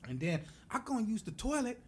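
A man's voice: high voice, very animated, quick pace, slight background noise. A middle-aged man from the United States who tells stories with energy as a podcast guest and acts out the voices of the people in them. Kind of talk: animated storytelling.

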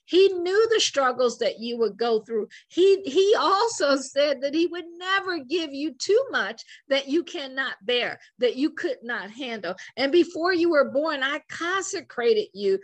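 A woman preaching to online viewers about faith and business, fervent and asking listeners to say lines back, her voice turning hoarse. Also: high-pitched. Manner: fervent, calls for responses from listeners. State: hoarse, losing her voice and coughing.

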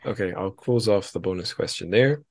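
A man's voice: deep voice, moderate pace, darkish tone, no room sound, studio quality. A man chatting casually as a podcast host, with a wry, dryly joking manner.

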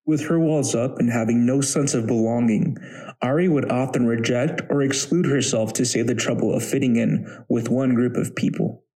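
Male podcast narrator, average pitch, formal scripted read linking parts of a personal story. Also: fairly even intonation, normal speed, warm tone, poor audio.

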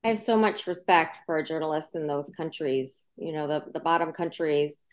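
A woman's voice: sits low, moderate pace. A woman, a journalism professor, answering interview questions in an earnest, explanatory way and repeating points for emphasis.

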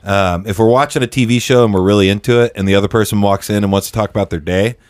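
A man's voice: on the low side, quick pace, a little mellow, dry recording, clean studio sound. A man hosting a casual podcast conversation, chatting in a laid-back way. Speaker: podcast host.

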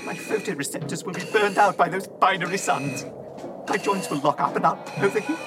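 A man's voice: high-pitched, a little fast, over music, natural voice. A man playing a fussy, anxious, self-pitying robot in a radio drama, prissy and theatrical in his complaints. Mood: despairing. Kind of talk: dramatised radio science-fiction acting.

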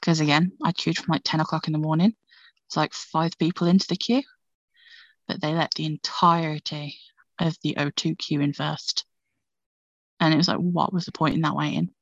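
A woman's voice: deep voice, fairly even intonation, moderate pace, dry recording. A woman in her thirties, co-hosting a podcast in a chatty, laughing casual talk full of "like". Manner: chatty, says "like" a lot, laughs often. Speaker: podcast co-host. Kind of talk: casual chat. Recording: dull lo-fi audio, dry.

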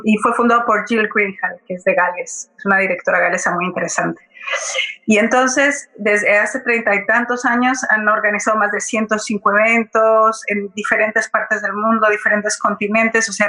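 Bright-voiced woman, conversational guest. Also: medium pitch, low-quality recording. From Colombia. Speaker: guest playwright and theatre director. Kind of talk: conversational account in a public forum.

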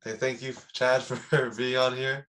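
A young man hosting a podcast, chatting casually in an excitable, slangy way with frequent laughter. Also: medium pitch, a little slow. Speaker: podcast host. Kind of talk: casual chat.

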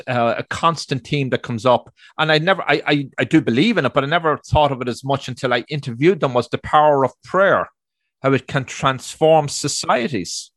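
A man with an Irish accent hosts an interview in a chatty manner and a middle pitch. He stretches out the words he wants to stress. The sound is dry and close. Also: a bit bright, no room sound. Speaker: host. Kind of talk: interview hosting.

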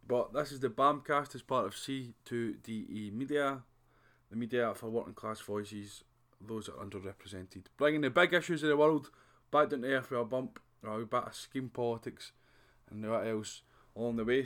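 Brief: Scottish male podcast host, mid-pitched, laid-back chat, trails off quietly. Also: normal speed. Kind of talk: casual chat.

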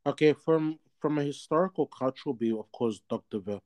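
A man's voice: medium pitch, fairly quick, darkish tone. A man in his thirties from Australia, a guest in a casual interview, talking in a rambling way with many fillers and restarts. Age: 30s.

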